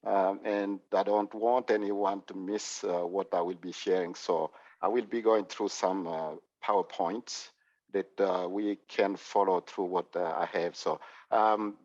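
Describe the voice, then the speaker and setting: A man with a Congolese accent, a clergyman giving a measured presentation over a video call, with many "uh" and "um" fillers. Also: lowish voice, darkish tone, dry recording. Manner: measured, with frequent "uh" and "um". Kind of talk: formal online presentation. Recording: dull, dry video call audio.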